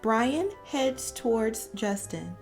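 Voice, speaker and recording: A woman reads a picture book aloud in a high, lively voice. She takes her time with each phrase, clearly telling it for children, with music accompanying her. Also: slow pace. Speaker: read-aloud storyteller.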